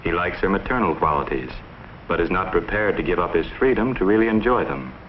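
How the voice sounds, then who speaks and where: A man narrating a 1950s educational film in a measured, analytical manner from a script. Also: sits low, expressive. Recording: muffled 1950s film soundtrack, faint noise.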